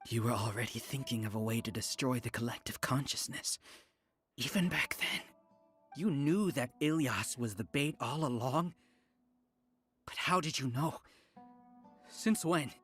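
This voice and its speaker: Husky, bitter scholar villain. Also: male voice, mid-range voice, lively intonation, a little slow, long pauses, fairly bright tone, soft background music. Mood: incredulous. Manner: dramatic, resentful. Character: proud, bitter defeated male scholar villain. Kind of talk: English video game voice acting.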